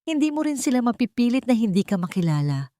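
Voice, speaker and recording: A Filipino-accented woman playing a wronged mother who is firm, forgiving and gentle with her children, speaking in a measured, solemn way. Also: fairly high pitch, sing-song, no echo, studio quality, pitched above the performer's own voice. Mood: stern. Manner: measured, solemn, soft-spoken.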